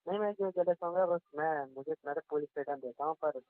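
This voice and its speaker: A man's voice: a little high, quick pace, dry recording, lightly acted. Man playing sulky jealous boyfriend, tinny phone line. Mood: defensive, jealous. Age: young adult. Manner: accusing, defensive, grumbling. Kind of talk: naturalistic relationship phone-call roleplay. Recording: tinny, narrow phone-call sound.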